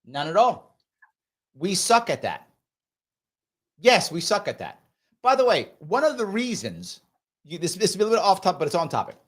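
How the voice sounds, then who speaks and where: A man's voice: high voice, wildly swooping pitch, moderate pace, long pauses. Emphatic talk show host man. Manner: emphatic, conversational, repeats himself, frequent "right?". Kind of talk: live discussion, reading viewer comments.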